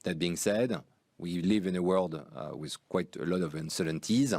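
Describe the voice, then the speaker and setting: Ceo guy on an investor call, super low voice, careful measured answers, no room echo. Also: a little mellow. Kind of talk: earnings call Q&A, unscripted explanation.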